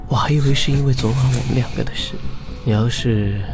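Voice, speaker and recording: A male actor voicing a cocky, shameless gangster with a teasing, suggestive manner in an animated drama. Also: mid-range voice, moderate pace, over music, close to an everyday voice. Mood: teasing, flirtatious.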